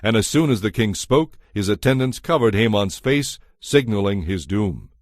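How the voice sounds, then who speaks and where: A man narrating a dramatized audio Bible in a measured, formal storytelling manner. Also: fairly low pitch, animated, no echo, clean studio sound.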